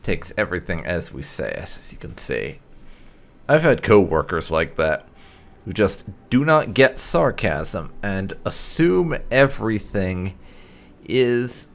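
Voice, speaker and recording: A man with a lowish voice and a heavy creak gives casual commentary over a video game. His delivery is lively in pitch and wryly sarcastic, as a gaming host playing along in character. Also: slow pace. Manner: wry, sarcastic. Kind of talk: casual playthrough commentary with light roleplay. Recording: game music behind. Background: game music.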